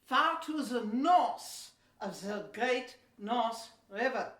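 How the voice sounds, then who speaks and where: A woman playing a smooth, scheming agent with a measured, deliberate delivery in a historical audio play. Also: fairly high pitch, expressive, fairly bright tone. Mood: cool, scheming. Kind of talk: historical radio play acting. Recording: roomy, echoing room sound.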